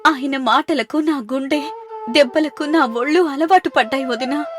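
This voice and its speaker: A woman voicing a meek, sorrowful young married daughter in an animated family story. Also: high-pitched, normal speed, close to an everyday voice. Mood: sad, resigned.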